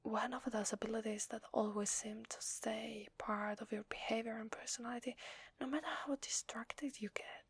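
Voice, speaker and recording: A woman's voice: fairly high pitch, level tone, moderate pace. Woman playing a whispering vampire lady with an Italian accent. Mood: shy, confessional. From Italy. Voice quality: soft breathy whisper. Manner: hesitant, apologetic, confiding. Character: gentle, apologetic female vampire confiding in a human. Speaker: ASMR voice actor. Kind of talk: whispered ASMR roleplay. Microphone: close microphone, dry.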